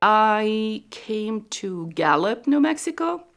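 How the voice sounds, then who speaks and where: Slow-speaking woman from Palestine. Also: mid-range voice, gravelly, soft background music. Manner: slow, animated storytelling. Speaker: interviewee. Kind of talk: documentary interview.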